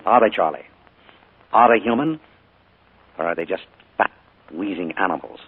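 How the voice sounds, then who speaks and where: An actor playing a charming but sinister middle-aged uncle, a killer, in a 1940s radio drama. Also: male voice, deep voice, expressive, moderate pace. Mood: contemptuous. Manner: smooth, then cold and contemptuous. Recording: tinny 1940s radio broadcast with music.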